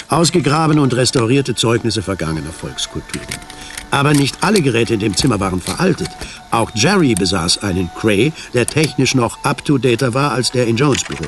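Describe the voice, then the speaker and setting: A man narrating a science fiction radio play in a measured, detached, descriptive way. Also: fairly low pitch, natural voice. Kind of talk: scripted radio drama narration.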